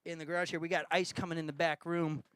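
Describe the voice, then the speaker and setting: A man hosting a comedic live talk show from a garage, rambling through deadpan jokes with plenty of fillers. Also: on the high side, fast talker, clear, resonant tone, no room sound. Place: home garage studio.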